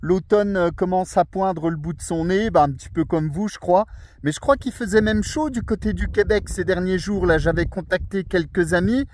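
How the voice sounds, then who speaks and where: Male radio hobbyist, French accent, notably high voice, courteous and chatty. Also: normal speed, a little mellow, dry recording. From France. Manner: friendly, courteous. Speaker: amateur radio operator. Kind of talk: casual radio contact chat. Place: outdoors on a small mountain summit.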